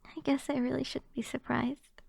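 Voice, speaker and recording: A young woman playing a shy, affectionate girlfriend in an intimate ASMR roleplay, soft and hesitant, often slipping into whispers close to the microphone. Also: high voice, little pitch movement, moderate pace, gravelly, dry recording, full character voice.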